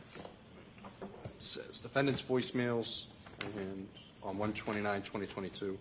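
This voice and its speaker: A man's voice: lowish voice, steady tone, measured pace, noisy background. A man testifying as a state trooper in court, reading out records in a matter-of-fact, formulaic way. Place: courtroom witness stand.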